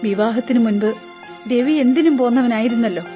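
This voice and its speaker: A woman acts out a reproachful middle-aged wife and mother in a radio play. Her voice is on the high side, with a cutting, accusing and defiant delivery. There is faint music in the background. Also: a little fast, mellow, muffled recording, lightly acted. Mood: bitter, sarcastic. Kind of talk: Malayalam radio drama acting.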